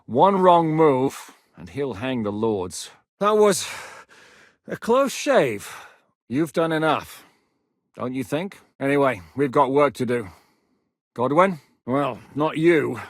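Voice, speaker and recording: An actor playing a battle-hardened warlord commander who gives blunt, commanding orders and swears freely in the fight, recorded in a dry studio. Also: male voice, mid-range voice, very animated, slow, dry recording. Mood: grave. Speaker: military commander character. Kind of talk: video game voice lines.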